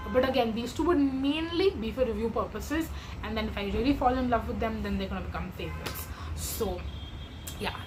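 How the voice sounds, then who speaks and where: A young woman reviewing beauty launches in casual, rambling chat, full of fillers and self-corrections, her voice wearing out. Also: a little high. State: losing her voice, tiring. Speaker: beauty vlogger. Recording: steady background noise.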